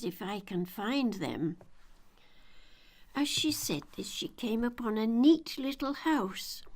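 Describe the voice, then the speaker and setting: An older woman reading a children's story aloud in a measured, deliberate, expressive storytelling manner. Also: mid-range voice. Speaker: children's audiobook reader.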